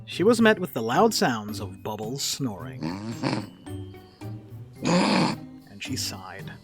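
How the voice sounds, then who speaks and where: A man narrating a dramatic reading as a storyteller, with expressive, scripted delivery. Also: on the low side, slow pace, music in the background.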